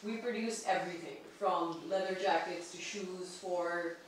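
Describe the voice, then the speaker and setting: A woman's voice: on the low side, fairly even intonation, unhurried. A woman from Pakistan, earnestly and articulately putting a question and comment at a seminar. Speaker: audience member, barrister and entrepreneur. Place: large indoor meeting room. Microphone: far room camera. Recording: distant mic, very echoey room, faint noise.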